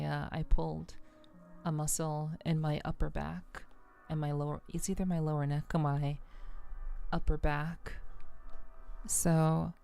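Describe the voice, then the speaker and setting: A soft-spoken woman streamer chatting casually and unhurriedly with her live viewers in an ASMR style, rambling a little hesitantly. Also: sits low, gravelly. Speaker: livestreamer, VTuber host. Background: faint music.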